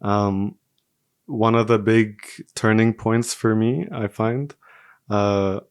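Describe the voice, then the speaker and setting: A man in his twenties from Singapore talks casually as an interview guest. His voice is smooth and fairly low, with little rise and fall in pitch. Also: steady tone, takes it slowly, no room sound. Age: 20s. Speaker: podcast guest, medical student.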